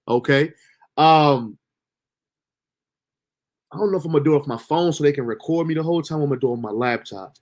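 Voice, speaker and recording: A young man hosting a livestream, talking loosely in slang and swearing as he gives himself a pep talk. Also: a little high. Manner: loose, slangy, motivational self-talk with profanity. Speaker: livestream host. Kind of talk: casual livestream monologue.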